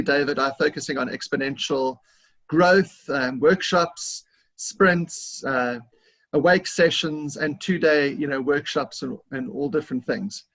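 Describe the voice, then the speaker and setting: South African man, upbeat talker, slightly high pitch, video-call mic. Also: fairly bright tone. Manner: enthusiastic, talkative, with fillers and laughs. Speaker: guest consultant. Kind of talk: interview over video call.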